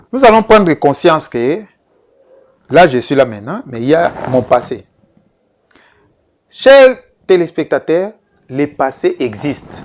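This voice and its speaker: Fiftyish male coach, slightly high voice, patient and insistent on TV. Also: a little hiss or hum. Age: 50s. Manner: slow, repetitive, earnest, addressing viewers directly. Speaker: life coach. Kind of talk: televised coaching talk.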